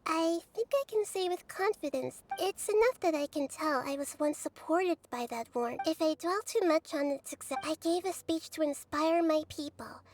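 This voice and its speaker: A woman playing a gentle, wise, childlike girl deity in a game, speaking in an earnest, measured, articulate way. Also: high-pitched, lightly acted. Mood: reflective. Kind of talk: scripted game voice acting.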